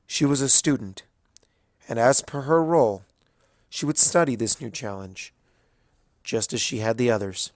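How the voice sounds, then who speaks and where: A man reading a dramatic story as narrator in a solemn, hushed, deliberate way. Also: mid-range voice, no room sound, clean studio sound. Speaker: fan fiction narrator.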